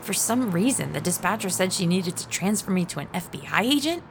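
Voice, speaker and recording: A woman's voice: lowish voice, expressive, a little fast. An actress playing a frightened ordinary woman in an audio drama, narrating and speaking in a tense, hesitant way. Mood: panicked. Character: anxious ordinary woman, a frightened witness narrating her ordeal. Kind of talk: first-person audio drama narration and dialogue.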